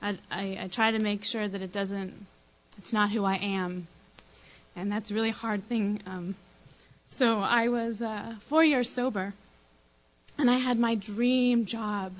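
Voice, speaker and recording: A woman's voice: medium pitch, steady tone, a little slow. A woman from the United States in her thiries giving a candid, self-deprecating recovery share, rambling with many fillers. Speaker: recovery meeting speaker. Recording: dull lo-fi recording.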